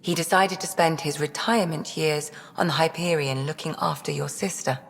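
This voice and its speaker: A woman's voice: sits low, fairly even intonation, moderate pace, creaky. A keen alien medic in a sci-fi game. She sounds a little nervous and hesitant, yet full of scientific enthusiasm. Her voice carries a long reverb. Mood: gently teasing. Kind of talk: video game dialogue acting.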